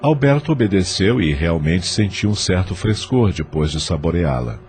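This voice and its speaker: Narrador brasileiro de voz grave. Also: male voice, deep voice, lively intonation, normal speed, a little mellow, a little hiss or hum. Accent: Brazilian. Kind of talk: scripted audio drama narration.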